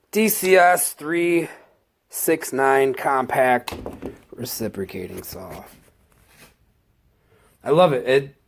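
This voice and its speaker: A man with a stuffed-up cold, casually showing off his work tools in a slow, halting, enthusiastic way. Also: medium pitch. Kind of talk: casual tool walkthrough.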